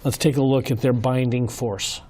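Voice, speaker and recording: Hoarse man hosting a casual show. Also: mid-range voice, steady tone. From Minnesota, US. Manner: earnest, folksy, halting with fillers. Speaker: host. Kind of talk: casual commentary.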